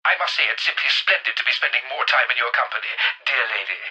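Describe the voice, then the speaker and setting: An actor playing a flamboyant, mock-gallant rogue trader in a video game, theatrical and florid, heard through a tinny radio filter. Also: male voice, medium pitch, animated, quick pace, sharp tone, breathy edge, full character voice. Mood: courtly, flattering. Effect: vox radio filter. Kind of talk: scripted video game character dialogue. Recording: tinny, harsh radio transmission.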